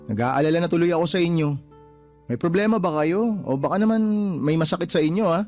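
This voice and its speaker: A man's voice: fairly high pitch, expressive, quick pace, a little mellow, soft background music, natural voice. A man playing a protective young adult son in earnest, probing radio drama dialogue. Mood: worried.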